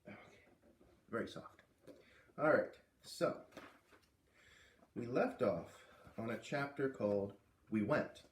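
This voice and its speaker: A man reading a story aloud with expressive narration and casual asides. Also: mid-range voice, slow, long pauses, slight background noise. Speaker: storybook reader. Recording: echoey room.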